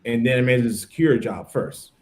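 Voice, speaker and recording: A man's voice: medium pitch, quick pace, darkish tone. An American man in his thirties talking casually in a conversational interview, explaining things in a chatty way. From United States. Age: 30s. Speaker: podcast participant, English teacher.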